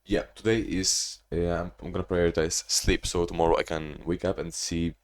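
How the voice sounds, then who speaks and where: A young man with a deep, low voice talks through his day in a casual video diary. His delivery is monotone and meandering, full of restarts and loose asides. He sounds like he's recording at home. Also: normal speed. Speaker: vlogger.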